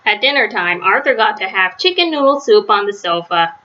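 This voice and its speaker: A woman's voice: medium pitch, expressive, a little fast, bright, forceful tone, lo-fi sound. A woman reading a children's story aloud as narrator. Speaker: storybook reader.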